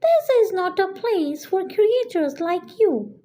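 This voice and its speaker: Woman acting a spoiled arrogant princess, high voice, very animated, dramatic, scornful, children's storybook. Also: takes it slowly, full character voice. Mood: angry scorn. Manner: haughty, dramatic.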